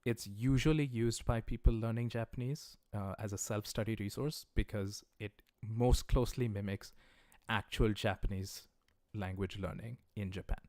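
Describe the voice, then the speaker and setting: A man with a lowish voice teaches a livestreamed class in an easygoing, chatty way, often pausing on "uh" and "um". He sounds encouraging as he speaks straight to his viewers. The sound is close and dry, with no room echo. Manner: relaxed, conversational, many "uh" and "um" fillers; encouraging, recommends resources directly to viewers. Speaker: language teacher. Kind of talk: casual livestreamed lesson.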